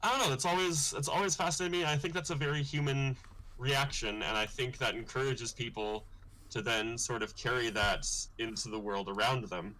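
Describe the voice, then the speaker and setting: A man's voice: fairly even intonation, fairly quick, pushed and bright, rough recording. A young man, a comic artist hosting his own livestream, talking thoughtfully and rambling a little with fillers. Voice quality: deep voice. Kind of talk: casual livestream chat.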